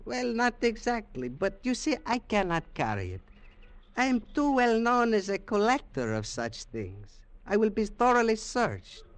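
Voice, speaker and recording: An actor playing an older Peruvian collector and archaeologist in a 1950s radio drama, speaking formal English, halting and persuasive. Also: male voice, sits high, wildly swooping pitch, heavily acted. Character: older Peruvian male collector, smooth and evasive.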